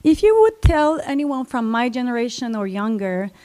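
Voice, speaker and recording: A woman from Syria, telling a personal story to an audience in a slow, reflective way. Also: a little high, very animated, warm tone, smooth. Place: library event stage.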